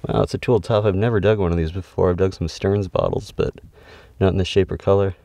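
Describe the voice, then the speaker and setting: Low gravelly man narrating a dig. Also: fast, distant mic. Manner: calm, matter-of-fact, reading out finds. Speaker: bottle digger. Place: outdoors at an excavation pit.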